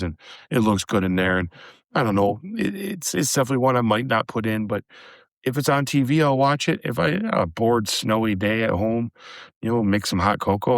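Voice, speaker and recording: Low-voiced man, slightly hoarse podcast host. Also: no room sound. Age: adult with a daughter. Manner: chatty, addresses listeners as guys, many fillers. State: slightly hoarse, recovering from a cold. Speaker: podcast host reviewing horror films. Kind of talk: casual solo movie review.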